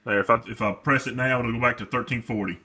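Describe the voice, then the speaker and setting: A man's voice: mid-range voice, steady tone, fast, sharp tone, breathy edge. A man giving a casual demonstration of a product as its seller, talking off the cuff.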